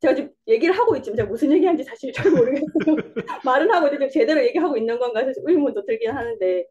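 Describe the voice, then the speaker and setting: Young woman, Busan accent, chatty interview guest who laughs a lot, quick delivery, warm low-key tone, lo-fi sound. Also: expressive. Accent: Busan dialect. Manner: rambling, laughs often, self-deprecating. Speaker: interview guest, coaching student. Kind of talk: casual interview answers.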